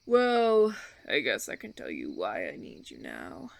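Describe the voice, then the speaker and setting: A man playing a suave, condescending vampire colony leader in a roleplay audio drama, theatrical and teasing, with drawn-out phrasing. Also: on the low side, wildly swooping pitch, vocal fry, dry recording, exaggerated voice. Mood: proud, grandiose.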